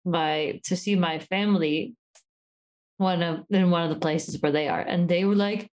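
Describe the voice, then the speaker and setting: A woman's voice: lowish voice, steady tone, moderate pace, darkish tone. Chatty woman with a mixed accent. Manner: chatty, laughs often. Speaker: podcast co-host. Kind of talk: casual chat.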